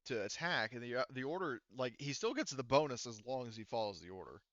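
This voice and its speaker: A man's voice: mid-range voice, expressive, fast talker, fairly bright tone, no echo, dull audio. A man speaking as game master, narrating and explaining rules in an informal, joking way. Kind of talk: casual tabletop roleplaying game narration.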